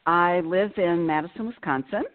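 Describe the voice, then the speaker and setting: A woman's voice: lowish voice, normal speed. Woman on a tinny phone line. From Illinois. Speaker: guest, nurse and cancer survivor. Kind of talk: phone-in radio interview.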